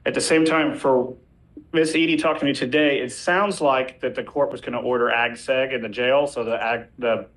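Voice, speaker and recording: Male defense attorney, mid-pitched, courteous but persistent, arguing formally in court. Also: steady tone, normal speed, fairly bright tone, low-quality recording. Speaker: defense lawyer. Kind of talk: courtroom legal argument.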